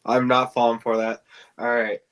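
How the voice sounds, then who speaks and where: A man speaks at an average pitch as a podcast co-host. He chats casually with friends and steers the discussion from one point to the next. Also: completely flat delivery, normal speed, a bit bright.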